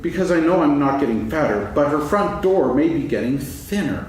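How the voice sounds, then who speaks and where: A man playing a gentle, absent-minded, food-loving toy bear in a staged children's story reading, musing aloud in a singsong way. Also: on the high side, expressive, normal speed, close to an everyday voice. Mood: dreamy musing. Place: stage, actors seated, live audience recording. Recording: echoey hall from far off, faint noise.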